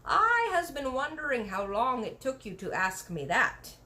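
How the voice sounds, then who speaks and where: A woman voicing a gentle giant man with folksy, ungrammatical speech in a storybook read-aloud. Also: high-pitched, big pitch swings, a little slow, exaggerated voice. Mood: pleased.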